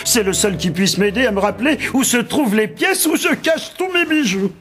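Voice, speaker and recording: A man playing an excitable, dim-witted old king in a cartoon, bumbling and exclamatory. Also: very high-pitched, moderate pace, faint music behind. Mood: excited. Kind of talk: French cartoon dubbing.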